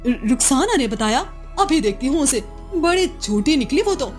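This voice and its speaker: Scheming cartoon wife voiced by a woman: high pitch, jealous and commanding, slyly coaxing, faint music behind. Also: big pitch swings, moderate pace. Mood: indignant denial. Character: scheming, jealous, cruel chieftain's wife feigning sweetness. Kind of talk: Hindi cartoon moral-story voice acting.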